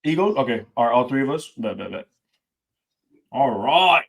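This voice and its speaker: A man co-hosting a casual podcast, talking sports and debate in an animated way and saying "bro" a lot. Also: mid-range voice, normal speed, vocal fry. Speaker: podcast co-host.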